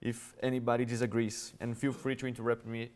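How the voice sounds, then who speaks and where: A man's voice: medium pitch, completely flat delivery, brisk. A man from Brazil, a native Portuguese speaker with a Brazilian Portuguese accent, giving a conversational, explanatory conference presentation to an audience. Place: conference hall.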